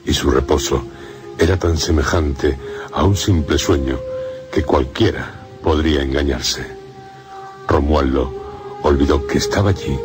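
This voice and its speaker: A man narrating a scripted gothic story as the narrator of a radio drama. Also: very deep voice.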